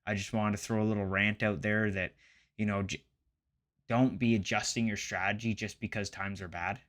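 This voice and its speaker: Low-pitched young man, casual vlog chat. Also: level tone, normal speed, fairly bright tone. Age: late 20s. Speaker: personal finance vlogger. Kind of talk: casual chat to camera about investing.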